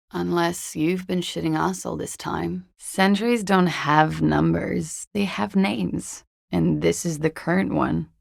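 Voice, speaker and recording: A woman's voice: lowish voice, creaky, a little mellow, no echo, heavily acted. An actress playing a cool, sardonic, world-weary young woman in a video game, speaking with languid, dry politeness in an intimate voice. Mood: dry, detached. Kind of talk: video game character dialogue.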